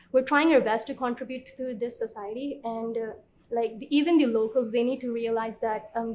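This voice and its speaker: A woman's voice: a little high, warm tone, slight background noise. A woman from Hong Kong answering interview questions earnestly, with many "like" fillers.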